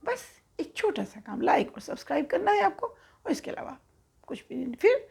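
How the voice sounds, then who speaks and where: A woman's voice: high-pitched, sing-song, normal speed, vocal fry, a little mellow. A woman storyteller narrating for children in a conversational, explanatory way, checking in with her listeners.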